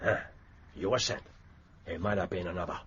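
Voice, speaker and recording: An actor playing a wily, jovial Japanese spymaster in a radio drama, with a Japanese accent and a formal, authoritative, deliberate delivery. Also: male voice, sits low, fairly even intonation, a bit bright. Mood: grave. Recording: dull, noisy old radio recording.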